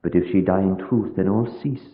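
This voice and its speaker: A tinny vintage radio recording with heavy hall reverb carries a man acting as a solemn, steadfast vampire hunter. His low voice, and he speaks in deliberate, formal, old-world phrases with pious gravity. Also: close to an everyday voice. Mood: urgent, reverent. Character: grave older foreign vampire hunter and scientist, steadfast and pious.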